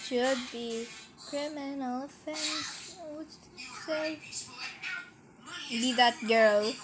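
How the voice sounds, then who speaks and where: A woman's voice: high-pitched, drawn-out words, music in the background, poor audio. A teenage girl chatting casually over a screen recording of her phone, giggly and easily distracted. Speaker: vlogger. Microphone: phone mic.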